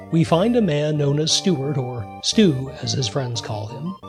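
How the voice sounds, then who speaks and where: A man narrating a radio play as a scripted storyteller, speaking directly to the listener. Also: fairly high pitch. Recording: music behind the voice. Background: music.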